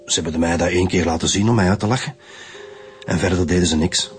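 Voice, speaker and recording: A man's voice: low-pitched, steady tone, fast talker, slight background noise, lightly acted. An actor with a Flemish accent playing an articulate, bitter male lecturer confessing to murder in a radio drama. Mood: bitter confession. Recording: music behind the voice.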